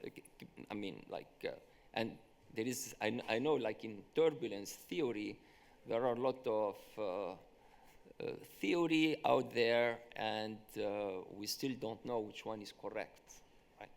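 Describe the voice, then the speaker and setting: A mathematician speaking on a symposium panel, answering questions in a hesitant way with many restarts and fillers. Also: male voice, fairly low pitch, unhurried, vocal fry.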